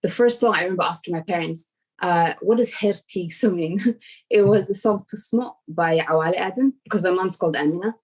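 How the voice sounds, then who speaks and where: A woman from Somalia answers questions on a video call with an earnest, thoughtful manner. Her voice sits low for a woman. She pauses now and then with a gentle "um". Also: husky. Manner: earnest and reflective, with soft "um" fillers. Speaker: guest. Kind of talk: casual interview answers.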